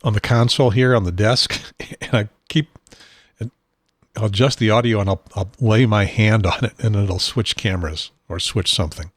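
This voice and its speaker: A man hosting his own talk show from a home studio desk, rambling and self-deprecating, with a husky, unsteady voice and frequent throat clearing. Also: on the low side, normal speed, dry recording. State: has a probe in his nose. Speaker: podcast host.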